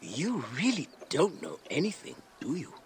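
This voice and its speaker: A man voicing a nervous, sly antiquities merchant in a game, fawning and dropping to secretive hushes. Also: fairly high pitch, huge pitch swings, moderate pace, a little hiss or hum.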